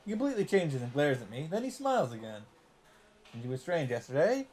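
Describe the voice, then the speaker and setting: A man's voice: a little high, big pitch swings, fast, darkish tone, a little hiss or hum. A man reading visual novel text aloud for a let's play and adding wry, joking asides.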